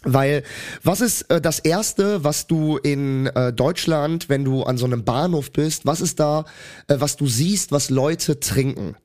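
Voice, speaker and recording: A man's voice: a little high, unhurried, a little mellow. A young man with a cold and a stuffy voice, chatting casually as co-host and rambling through stories with lots of fillers. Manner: rambling storyteller, many fillers. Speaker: podcast co-host. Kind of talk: casual chat.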